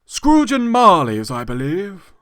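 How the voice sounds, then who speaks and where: Man playing a high-pitched genteel portly gentleman. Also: wildly swooping pitch, slow pace, no room sound, studio quality, heavily acted. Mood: courteous. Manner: formal, polite, florid. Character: portly, genteel, earnest charity-collecting gentleman.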